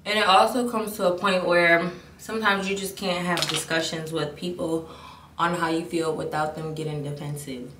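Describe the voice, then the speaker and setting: A woman's voice: fairly low pitch, fairly even intonation, moderate pace. A young woman in her twenties talking candidly to the camera as a beauty vlogger, rambling and restarting her sentences. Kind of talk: casual chat to camera. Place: bathroom at home, indoors. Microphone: camera mic at a distance. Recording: echoey bathroom, distant mic, background noise.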